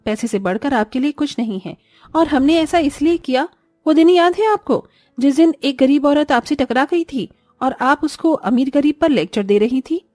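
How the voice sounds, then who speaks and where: A woman's voice: high-pitched, a little mellow, no echo. A woman voicing an earnest, polite young daughter-in-law in a cartoon story. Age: young adult. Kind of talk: Hindi cartoon morality story acting.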